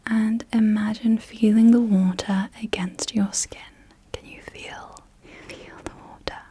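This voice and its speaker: A young woman whispering softly and unvoiced as an ASMR host, gently asking slow questions in a guided visualisation, close to the mic. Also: a little high, level tone, a little hiss or hum. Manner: soft unvoiced whisper throughout. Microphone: close sensitive mic.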